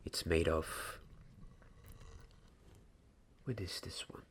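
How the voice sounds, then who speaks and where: A young man doing soft-spoken, half-whispered ASMR roleplay as a gentle wand maker's apprentice, speaking in a hushed, deliberate, halting way close to the microphone. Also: sits low. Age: young adult. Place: small candlelit indoor set.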